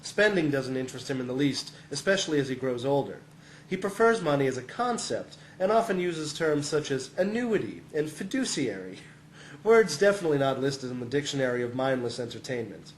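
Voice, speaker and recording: A man's voice: mid-range voice, big pitch swings, a little fast, roomy sound, slight background noise, low-quality recording. A man reading a humorous first-person essay as scripted narration, sounding amused and often close to chuckling.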